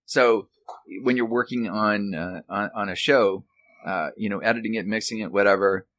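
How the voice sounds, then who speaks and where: A middle-aged man, a guest explaining things casually and haltingly, step by step. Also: on the low side, a bit bright. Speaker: guest, author and former radio technician.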